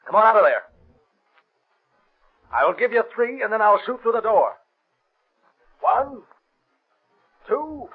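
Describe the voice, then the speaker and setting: An actor playing a tough, commanding police officer on a raid in a 1930s radio drama. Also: male voice, high-pitched, big pitch swings, takes it slowly, long pauses, breathy edge, heavily acted. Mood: tense, commanding. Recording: tinny band-limited 1930s radio recording.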